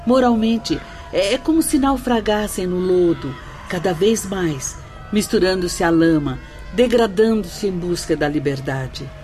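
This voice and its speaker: Woman doing a solemn spirit teacher, lowish voice, music under it, radio play. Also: a little slow, dark tone, natural voice. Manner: solemn, explanatory. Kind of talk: radio drama acting.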